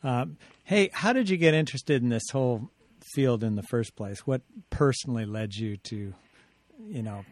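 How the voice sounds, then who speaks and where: A man hosting a radio interview in a relaxed, agreeable way. His voice is dry and close, with no room sound around it, at an ordinary pitch for a man. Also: normal speed, no echo. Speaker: radio host.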